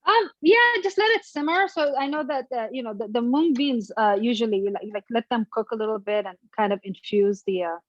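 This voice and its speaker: A woman's voice: fairly high pitch, fairly quick, few pauses, no room sound, highs cut off. A young woman with an Afghan accent, chatting hesitantly and laughing as she walks through cooking a family dish. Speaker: guest cook. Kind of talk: casual cooking demonstration.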